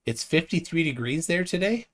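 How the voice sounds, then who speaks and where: A man's voice: fairly high pitch, animated, moderate pace, slight background noise. A man chatting casually on a live stream, asking curious follow-up questions. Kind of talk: casual chat.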